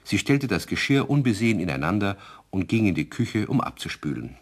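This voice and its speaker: A genial male narrator tells a children's radio tale in a deep voice. He addresses the young listeners playfully, with expressive, widely varied intonation. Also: fairly quick. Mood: confiding. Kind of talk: storytelling for children in a radio play.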